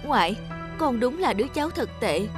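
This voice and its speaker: A woman's voice: a little high, sing-song, moderate pace, music in the background. A young woman voicing a remorseful granddaughter in a cartoon, plaintive and self-reproachful. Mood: sad, remorseful. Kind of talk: cartoon voice acting.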